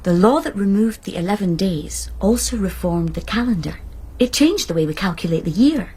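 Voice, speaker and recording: An actress playing a knowledgeable woman scholar in a radio drama, explaining in a patient, measured, exact way. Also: medium pitch, lively intonation, darkish tone, breathy edge.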